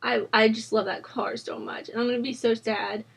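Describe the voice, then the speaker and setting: Hoarse young woman chatting casually. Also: fairly high pitch, fairly even intonation, normal speed. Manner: rambling, chatty, many ums and likes, laughs at herself. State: hoarse from a sore throat. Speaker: Sims building channel host. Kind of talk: casual voice-over chat during a speed build.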